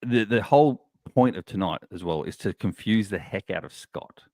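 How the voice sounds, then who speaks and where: A man's voice: lowish voice, lively intonation, normal speed, mellow, dry recording, studio quality. A man with an Australian accent, co-hosting a podcast and chatting, laughing and explaining things. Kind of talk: casual podcast banter.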